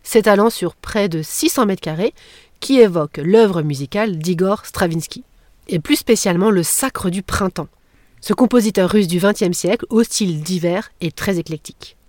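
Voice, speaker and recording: Podcast host, woman, mid-pitched, teasing scripted delivery, dry close mic. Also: normal speed, darkish tone, no room sound. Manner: playful, jokey asides.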